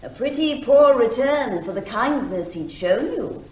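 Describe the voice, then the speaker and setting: In an echoing room, an actress plays a lady barrister in a courtroom comedy. Her voice is rather high and animated, formal and pointed as she presses her questions. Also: a little slow. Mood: pointed, exasperated. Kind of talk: courtroom radio comedy drama. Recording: roomy, echoing sound.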